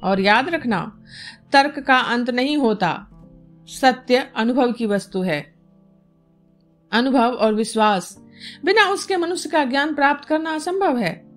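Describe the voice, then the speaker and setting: A woman voicing a stern, proud male yogi who speaks in a solemn, measured, didactic way. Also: high voice, faint music behind.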